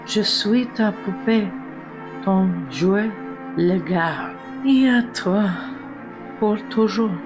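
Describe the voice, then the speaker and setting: A woman playing a vain, obsessive porcelain doll in a gothic horror audio drama, with formal, refined diction and deliberate dramatic pauses. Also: mid-range voice, dull audio, over music, lightly acted. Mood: passionately devoted. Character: prim, vain, obsessive old porcelain doll, genteel lady.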